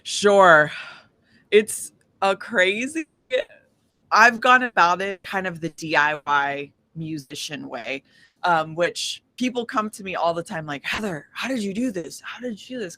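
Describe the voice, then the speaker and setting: A woman speaking casually as an interview guest, an independent musician who tells stories in an animated way and acts out quoted dialogue. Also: lowish voice, dry recording. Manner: animated storytelling, often acting out quoted dialogue.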